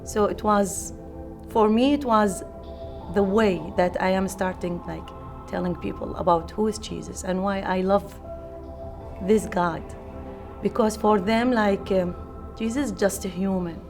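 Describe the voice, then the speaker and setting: A woman with an Iraqi accent shares personal testimony in an interview. Her voice is medium in pitch and her phrasing is simple and informal, with music clearly playing behind her. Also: fairly even intonation, a little slow. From Iraq.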